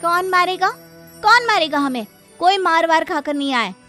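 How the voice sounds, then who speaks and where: A woman's voice: very high-pitched, expressive, normal speed, bright, forceful tone, faint music behind, heavily acted. A woman playing an earnest, meek young village daughter in a moral story. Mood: troubled, pleading. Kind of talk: Hindi moral-story acting.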